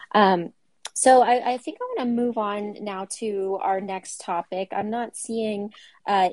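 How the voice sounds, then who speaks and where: A woman hosting a casual panel discussion, talking in a measured way with frequent fillers and putting questions to her guests. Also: mid-range voice, darkish tone, no echo. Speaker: podcast host.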